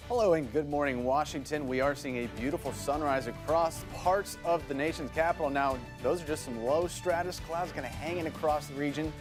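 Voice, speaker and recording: A man's voice: fairly high pitch, fairly quick, hardly any pauses. A man presenting a morning weather forecast, talking it through in an unscripted way. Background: music.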